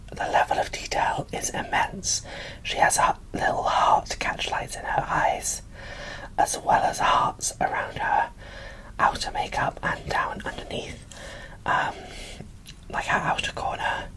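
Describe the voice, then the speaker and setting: A woman whispering casual, gushing ASMR commentary as she presents an unboxing, in a breathy, informal way. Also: takes it slowly, fairly bright tone, rough recording.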